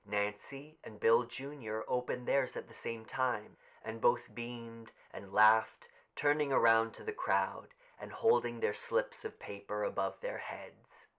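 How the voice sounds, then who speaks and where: A man's voice: fairly low pitch, fairly even intonation, unhurried, few pauses, fairly bright tone. A man narrating literary fiction as a scripted audiobook reading.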